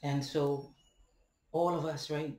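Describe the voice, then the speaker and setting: A young man from Ghana with a Ghanaian accent, speaking a halting, deliberate, reflective monologue to a webcam at home, often checking in with questions. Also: fairly high pitch, level tone, a little mellow. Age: young adult. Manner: halting, deliberate, rhetorical questions and tag "right?". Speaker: vlogger. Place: indoors, room at home with bare walls and ceiling fan. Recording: echoey room, webcam at a distance.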